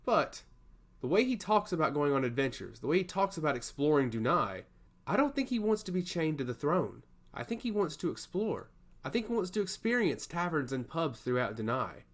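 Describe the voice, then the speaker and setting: A man's voice: fairly high pitch, sing-song, brisk, voice pushed up. A man playing a composed young princess in a fantasy audiobook, earnest and reflective. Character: thoughtful, composed young princess, calculating and sincere.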